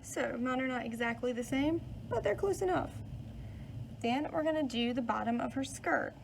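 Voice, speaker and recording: A woman's voice: high-pitched, expressive, normal speed, vocal fry, a little hiss or hum. A woman teacher speaking to children, reading and explaining in a step-by-step, storytelling way. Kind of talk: children's read-aloud and instruction.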